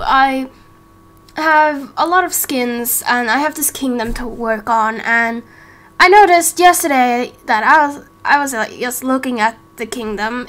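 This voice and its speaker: High-voiced, slow-talking young-sounding woman. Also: fairly bright tone. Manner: hesitant, apologetic. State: tired. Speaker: gaming video creator. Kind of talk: casual unscripted chat to viewers.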